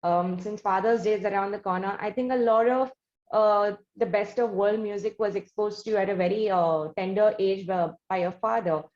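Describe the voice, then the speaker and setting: An Indian-accented woman speaks as the host of an interview, at a middle pitch. She builds her questions slowly and wordily, often rephrasing as she goes. The sound is roomy and echoey, with slightly dulled audio. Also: fairly even intonation, a little mellow, lo-fi sound.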